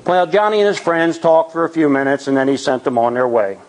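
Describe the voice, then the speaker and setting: A man telling a spooky tale live in a theatrical, suspenseful way, with deliberate dramatic pauses. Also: on the high side, expressive, a little hiss or hum, low-quality recording. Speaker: storyteller at a Toastmasters tall tales event.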